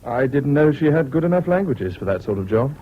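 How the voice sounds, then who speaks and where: An actor playing a mild, concerned English army officer, polite and gentle, in a 1970s British radio drama. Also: male voice, medium pitch, big pitch swings, brisk, lightly acted. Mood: subdued. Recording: dull, noisy old radio recording.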